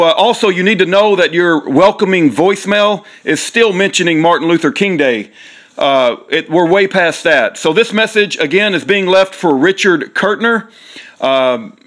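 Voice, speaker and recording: High-pitched stern man. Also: a bit bright. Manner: stern, accusatory, emphatic. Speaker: caller leaving a voicemail. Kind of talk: formal complaint left by voicemail.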